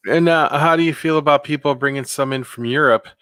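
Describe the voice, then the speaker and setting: A man with a deep voice chatting casually as a guest, hesitant and full of ums. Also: fairly quick, fairly bright tone, clean tone, no room sound, studio quality. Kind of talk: casual chat.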